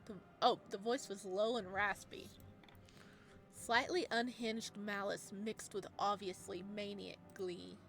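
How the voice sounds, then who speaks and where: A woman's voice: medium pitch, slow pace, gravelly, soft background music. A woman reading a visual novel aloud. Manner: excitable, laughs at her own voice acting. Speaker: game streamer.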